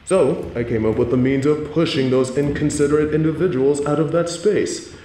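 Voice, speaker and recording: A man playing a playful, sinister supervillain in an audio drama, teasing and gloating with theatrical, drawn-out emphasis. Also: a little high, warm tone, roomy sound, slight background noise, natural voice. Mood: proud, playful. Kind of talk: scripted audio roleplay villain monologue.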